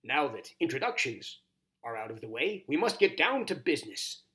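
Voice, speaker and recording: A man voicing a kindly, formal horned toad mentor in a storybook, with a grumbly, muffled, croaky voice and courtly manners. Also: medium pitch, big pitch swings, moderate pace, a bit bright. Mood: ceremonious. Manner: courtly, old-fashioned, ceremonious phrasing.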